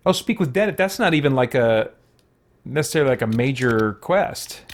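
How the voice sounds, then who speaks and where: A man's voice: medium pitch, expressive, normal speed. A man giving casual let's-play commentary, thinking aloud in a tentative way as he goes. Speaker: gaming video host.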